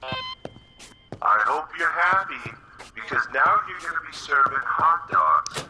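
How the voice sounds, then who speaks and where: Bossy smug game boss, kinda high voice, drags words out, noisy crackly audio. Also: male voice, sing-song, slow pace.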